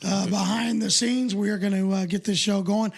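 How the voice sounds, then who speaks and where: A man with a hoarse, raspy voice that sits high for a man and crackles with heavy fry. He co-hosts a casual comedy podcast and talks in loose, crude banter. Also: steady tone, moderate pace. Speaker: podcast co-host.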